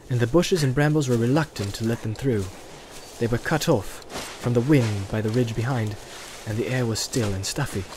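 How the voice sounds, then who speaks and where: Male fantasy-audiobook narrator, mid-pitched, even and unhurried. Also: slight background noise. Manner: even, storytelling delivery. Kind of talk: scripted narration.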